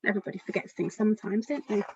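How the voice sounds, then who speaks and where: A woman's voice: mid-range voice, racing, mellow, slightly rough, muffled recording. A woman children's author reading a picture book aloud expressively, speaking directly to young listeners.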